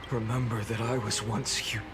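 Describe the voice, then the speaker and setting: An actor voicing a solemn, world-weary man resigned to endless struggle in a grave, measured video game performance. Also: medium pitch, flat delivery, lightly acted. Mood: weary, restrained. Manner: grave, measured, sighing delivery. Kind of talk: dramatic video game voice acting. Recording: game dialogue under background music.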